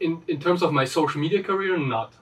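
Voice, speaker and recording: A man's voice: on the high side, racing, recorded from a distance, a little hiss or hum. A man, a guest chatting casually in an interview and rambling with fillers. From Austria. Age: 20s. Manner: relaxed, rambling, lots of fillers and restarts.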